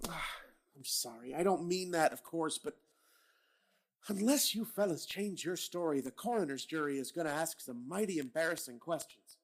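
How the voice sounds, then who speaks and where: Male actor as a hard-nosed police captain; blunt, sarcastic, lively pitch, radio drama. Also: normal speed. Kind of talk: old-time radio drama reading.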